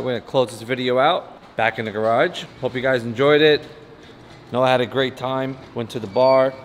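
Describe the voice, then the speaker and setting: A man in his thirties chatting casually as a family vlogger, to the camera and to a pet. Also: medium pitch. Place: mostly outdoors at a marina and patio, later garage and home. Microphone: camera held at arm's length. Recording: clear background noise, handheld camera outdoors.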